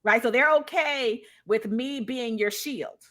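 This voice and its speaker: A woman's voice: on the high side, measured pace, sharp tone. A woman speaking as a guest in a live discussion, conversational and emphatic, often checking in as she shares her experience. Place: live online video call.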